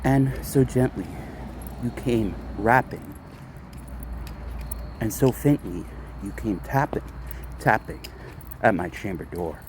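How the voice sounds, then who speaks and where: Slow, low-voiced man reading Gothic poetry outdoors. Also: expressive. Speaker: amateur reader. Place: outdoors, walking a dog. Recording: outdoor street noise, vehicles, jangling keys.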